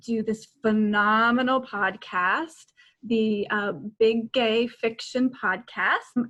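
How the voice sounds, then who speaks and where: A woman's voice: fairly high pitch, fairly bright tone, highs cut off. A middle-aged woman co-hosting a book show, chatting casually and animatedly on a video call. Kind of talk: casual interview chat. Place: indoor room, video call.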